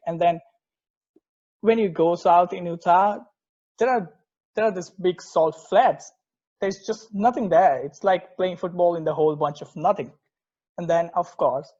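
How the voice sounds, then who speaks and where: Man acting earnest purist football fan, fairly high-pitched, emphatic, conversational script reading, dull microphone. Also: normal speed, darkish tone. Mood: explanatory, bemused. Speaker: amateur actor in a remote table read.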